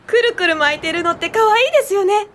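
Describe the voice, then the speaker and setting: Very high-pitched eager female student. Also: expressive, quick pace, fairly bright tone. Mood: eager curiosity. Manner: eager, curious. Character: bright, eager young female college student. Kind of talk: radio drama acting.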